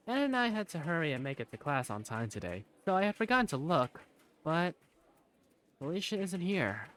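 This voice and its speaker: A man, an amateur voice actor, narrating a visual novel fandub in the first person in an animated way. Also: fairly high pitch.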